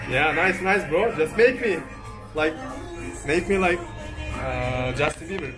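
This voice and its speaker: Playful high-voiced young man in a barbershop. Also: lively intonation, measured pace, over music. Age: 20s. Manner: playful, joking, laughs often. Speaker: travel vlogger. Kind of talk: casual chat. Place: small barbershop indoors. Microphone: handheld camera close up.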